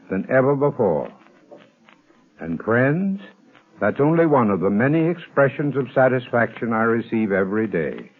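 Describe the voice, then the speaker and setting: Man doing an earnest ad read, tinny old radio sound. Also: medium pitch. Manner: earnest, persuasive. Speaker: sponsor's spokesman.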